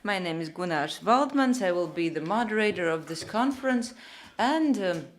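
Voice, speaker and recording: A woman with a fairly low voice interprets a conference opening into English as it happens. She speaks in measured phrases and corrects herself now and then. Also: lively intonation. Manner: measured, with pauses and self-corrections. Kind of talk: simultaneous interpretation.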